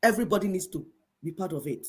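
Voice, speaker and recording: A woman's voice: lowish voice, expressive, fast, a little mellow, husky. A middle-aged Igbo woman with a Nigerian accent, giving impassioned commentary in an emphatic, repetitive, preaching cadence. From Igbo people of Nigeria.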